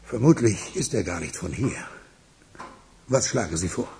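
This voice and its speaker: A low, gravelly man is an agitated city crime boss. He is sardonic and commanding, with dramatic swings in pitch. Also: expressive, moderate pace, a little mellow, a little hiss or hum. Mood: brooding, brisk. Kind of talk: German radio crime drama acting.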